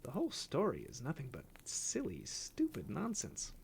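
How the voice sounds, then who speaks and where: A woman's voice: sits low, wildly swooping pitch, normal speed, vocal fry, darkish tone, a little hiss or hum, pitched above the performer's own voice, exaggerated voice. A woman voicing a bewildered young male copying clerk who exclaims in a flustered way.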